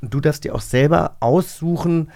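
A man's voice: fairly high pitch, normal speed, vocal fry, dark tone. A man co-hosting a podcast in casual, enthusiastic chat. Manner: chatty, enthusiastic. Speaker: podcast co-host.

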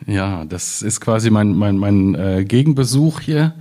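A man's voice: on the low side, expressive, measured pace, dark tone. A man from the Ruhr area in Germany speaking as a poet in a literary discussion, hesitant and repeating words as he thinks.

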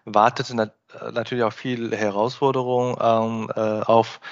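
A man from China who grew up speaking hosts an interview, framing his questions with frequent fillers and restarts. His voice sits at an ordinary middle pitch. The recording is slightly dull. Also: little pitch movement, measured pace. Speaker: podcast host.

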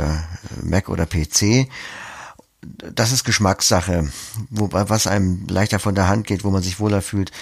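A man's voice: sits low, creaky, no room sound, studio quality. A man being interviewed as a podcast producer, explaining things conversationally, step by step with concrete examples.

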